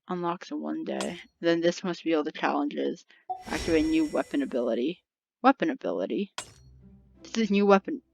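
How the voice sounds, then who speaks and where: A woman's voice: low voice, no echo, highs cut off. A woman giving casual let's play commentary, informal and reactive, thinking aloud as she plays. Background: game music.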